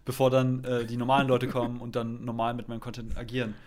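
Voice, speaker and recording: A man's voice: medium pitch, completely flat delivery, quick pace, a little mellow. A man from Germany, a podcast guest chatting casually and jokingly, dropping English phrases into his talk. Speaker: podcast guest, content creator.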